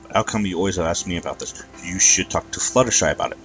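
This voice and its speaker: Sensible pony friend, read by a man, plain-speaking, a touch weary, low-voiced. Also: fast talker, fairly bright tone, far from the mic, faint music behind, low-quality recording. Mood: exasperated. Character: practical, mildly exasperated female pony friend.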